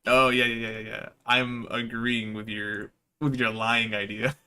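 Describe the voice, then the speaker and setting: A man's voice: mid-range voice, flat delivery, measured pace, bright, forceful tone, clear, resonant tone. A man doing casual game stream commentary, swearing freely and ranting in exasperation as he plays. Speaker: streamer playing and reading a visual novel aloud.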